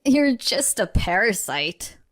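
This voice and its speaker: A woman narrator voicing a spoiled, scheming mother-in-law in a spoken story, speaking slowly and whining. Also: mid-range voice, very animated. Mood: whiny.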